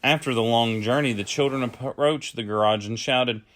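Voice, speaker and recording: A man reading a children's story aloud as a storyteller, with deliberate phrasing. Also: mid-range voice, fairly bright tone. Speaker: storyteller, early childhood educator.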